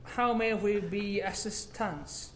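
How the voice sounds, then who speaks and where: A man's voice: high-pitched, slow pace, a little hiss or hum. A man playing a formal, literal-minded ogre banker in a tabletop game, with a hesitant polite manner.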